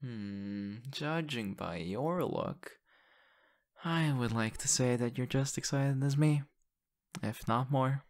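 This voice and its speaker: A man playing an excitable, affectionate young boyfriend in an intimate ASMR audio roleplay, talking haltingly and teasing playfully. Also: medium pitch. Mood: fond, curious. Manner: halting, repeats words, breathy laughs and sighs.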